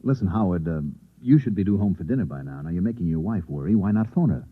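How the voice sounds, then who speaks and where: Patient skeptical reporter, lowish husky voice, soothing, colloquial, vintage radio drama, tinny recording. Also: male voice, animated, quick pace. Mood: coaxing. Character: patient, skeptical newspaperman humoring a troubled stranger. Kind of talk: old-time radio acting. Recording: tinny, narrow-band old radio recording.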